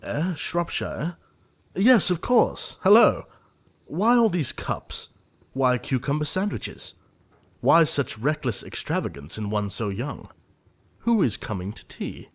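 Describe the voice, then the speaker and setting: Man playing animated, dapper English gentleman. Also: medium pitch, slow, full character voice. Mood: evasive. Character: dapper, self-assured upper-class English gentleman, playfully evasive. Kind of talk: audio play acting of Victorian comedy of manners.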